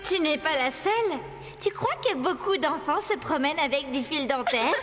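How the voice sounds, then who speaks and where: A woman's voice: high voice, lively intonation, normal speed, a bit bright, faint music behind. A woman voicing a polite, good-natured young girl who rides horses, in a French cartoon. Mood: friendly. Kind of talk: French animated series dialogue.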